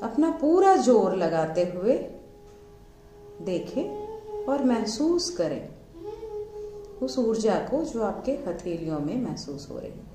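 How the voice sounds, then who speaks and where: A woman with an Indian accent guides a meditation, giving measured instructions in short phrases with pauses between them. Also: on the high side, very animated, warm tone, slight background noise. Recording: roomy echo, music underneath. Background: music.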